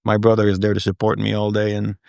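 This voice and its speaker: A man's voice: on the low side, completely flat delivery, quick pace, gravelly, darkish tone, no echo, clean studio sound. A French Canadian man whose first language is French, talking candidly as a guest in a casual interview. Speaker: podcast guest.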